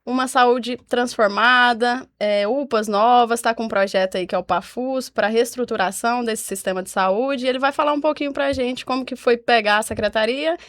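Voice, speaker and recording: A woman's voice: on the high side, steady tone, moderate pace, few pauses, fairly bright tone, no echo, studio quality. A woman with a Goiás Brazilian accent co-hosting a podcast and putting questions in a casual, conversational style. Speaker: podcast co-host. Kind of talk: casual interviewing.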